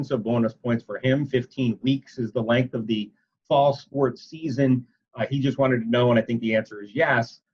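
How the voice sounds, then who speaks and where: Slightly rough-voiced guy running a press call, casual and chatty, reading out questions, lo-fi audio. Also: medium pitch. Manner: casual, chatty, loosely worded. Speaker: moderator relaying reporters' questions. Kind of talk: informal conference call moderating. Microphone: video conference call. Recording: dull, lo-fi conference call audio.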